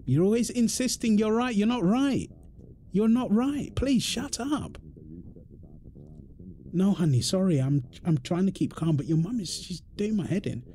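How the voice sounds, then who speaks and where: Man acting an exasperated British husband, animated higher voice. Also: measured pace, slight background noise. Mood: exasperated, insistent. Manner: insistent, repetitive, argumentative. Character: exasperated, defensive husband and father quarrelling indignantly. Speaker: audio roleplay performer. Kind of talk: dramatic one-sided argument roleplay.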